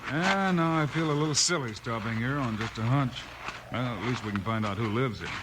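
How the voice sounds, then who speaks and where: A calm, watchful frontier lawman, played by a male actor, with a mid-pitched voice. He reasons things out plainly, as in a 1950s radio western, over a clearly noisy old recording. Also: a little fast, close to an everyday voice. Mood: calm, reasoning. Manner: calm, matter-of-fact, reasoning.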